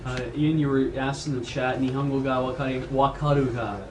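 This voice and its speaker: A man's voice: medium pitch, little pitch movement, brisk, crowd noise. A man chatting and joking casually in a group. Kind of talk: casual banter.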